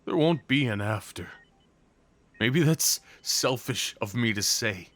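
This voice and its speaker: Male actor as devoted crime boss, ASMR roleplay. Mid-pitched, unhurried, halting delivery, raw and intimate. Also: expressive, fairly bright tone. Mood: broken, pleading. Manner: slow, halting, emotional, pleading delivery. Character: devoted, desperate crime boss at his lover's hospital bedside.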